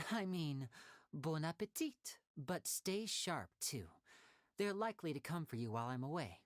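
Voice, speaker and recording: Suave, animated young magician. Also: male voice, fairly high pitch, a little slow, a little hiss or hum. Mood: amused, cautioning. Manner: smooth, theatrical, assured. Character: suave, confident young male stage magician, protective brother. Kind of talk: video game character acting.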